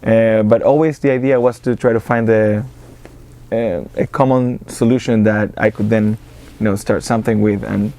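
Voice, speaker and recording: A man's voice: fairly low pitch, fairly even intonation, normal speed, mellow. A man from Argentina with an Argentine accent, a guest in an interview who talks casually with many fillers and restarts. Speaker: interview guest, business accelerator director.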